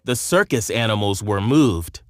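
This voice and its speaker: A man narrating a scripted children's storybook in an expressive, measured storytelling manner. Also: on the low side, no room sound, studio quality.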